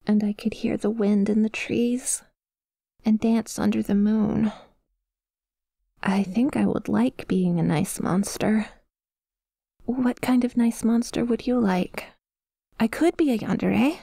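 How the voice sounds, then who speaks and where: A woman playing a possessive but vulnerable female sleep paralysis demon in an ASMR roleplay, speaking softly and hesitantly, close to the mic. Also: medium pitch, vocal fry, dry recording. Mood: shy, hopeful. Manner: hesitant, halting, tentative, confiding. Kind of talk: ASMR roleplay, intimate monologue addressed to a sleeper. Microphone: close microphone, dry.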